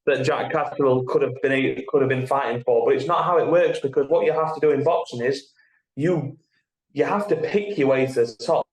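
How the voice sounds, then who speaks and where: Guy on a sports podcast explaining stuff step by step, mid pitch, roomy echo. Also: a little fast. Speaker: podcast co-host. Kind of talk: casual sports podcast discussion. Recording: roomy, echoey sound.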